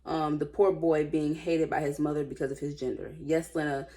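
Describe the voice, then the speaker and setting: A woman's voice: low voice, level tone, normal speed, mellow. An American woman casually reading stories aloud with informal, sassy and blunt running commentary.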